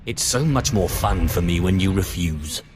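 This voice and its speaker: An actor playing an arrogant, menacing Sith sorcerer in a video game, with an imperious, taunting manner. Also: male voice, low voice, expressive, normal speed. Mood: cold, taunting. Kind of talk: video game cutscene acting. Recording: long hall reverb over music.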